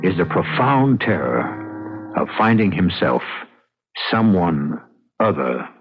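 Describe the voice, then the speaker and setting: Deep, dramatic male radio mystery host. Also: sing-song, slow pace, gravelly, over music. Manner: dramatic, portentous, rhetorical. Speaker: host and narrator. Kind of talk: theatrical scripted mystery radio narration. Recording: muffled old radio broadcast.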